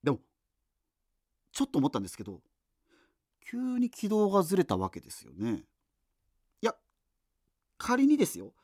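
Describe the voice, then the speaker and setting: A man's voice: high voice, wildly swooping pitch, moderate pace, long pauses, darkish tone, no room sound, clean studio sound, full character voice. A man playing a geeky, rambling radio host facing doomsday in a radio drama, talking hesitantly and digressively. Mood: hesitant, pensive. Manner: hesitant, digressive, self-interrupting. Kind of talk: radio drama monologue, conversational.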